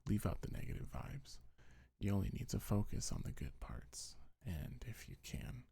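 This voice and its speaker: A man with a low, mellow voice speaks softly in an ASMR style, often dropping into a whisper. His delivery is very husky and breathy, with gentle, soothing reassurance throughout. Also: big pitch swings, normal speed. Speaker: ASMR creator reading a comfort script. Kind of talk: ASMR affirmations, soft-spoken and half-whispered.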